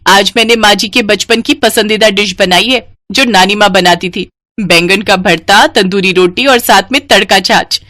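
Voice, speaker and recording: Woman playing an earnest, expressive young daughter-in-law. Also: medium pitch, moderate pace, close to an everyday voice. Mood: hopeful, pleased.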